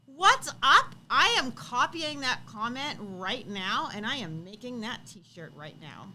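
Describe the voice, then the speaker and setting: Lady co-host chatting on a livestream, high voice, teasing, a bit of noise behind her. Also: big pitch swings, a little slow, pushed and bright. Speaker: co-host of a live Q&A stream. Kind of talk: casual playful banter with viewers.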